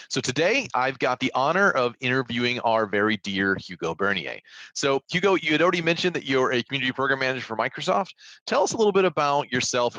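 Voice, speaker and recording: A man's voice: on the high side, no room sound, low-quality recording. A man hosting the show and interviewing his co-host, joking around and mocking himself in a playful way. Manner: jokey, animated, self-mocking.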